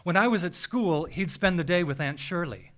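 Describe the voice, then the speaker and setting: An American man reads a scripted personal story live on stage, with a measured, theatrical delivery and deliberate dramatic pauses. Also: high-pitched, animated, fairly bright tone. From small town in Minnesota, United States. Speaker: writer reading a personal essay. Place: small theatre, live event.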